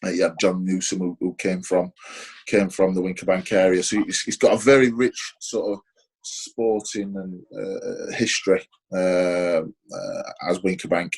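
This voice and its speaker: A man's voice: deep voice, steady tone, unhurried, slightly rough. A man in his forties with a Sheffield accent, answering interview questions hesitantly, with lots of fillers and restarts. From Sheffield, England. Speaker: interview guest, former footballer.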